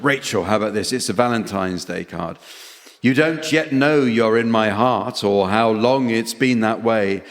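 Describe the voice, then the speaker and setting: A man chairing a comedy radio panel game, reading out verses and jokes in a dry, deadpan way. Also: fairly low pitch, a little slow. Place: theatre stage before an audience. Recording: noisy hall with long reverb.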